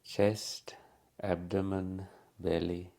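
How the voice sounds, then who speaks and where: A man with a low, gravelly voice guides a meditation at an extremely slow pace. He speaks calmly as a teacher would, leaving long pauses between short phrases, and his tone stays quite even. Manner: calm, unhurried, with long pauses.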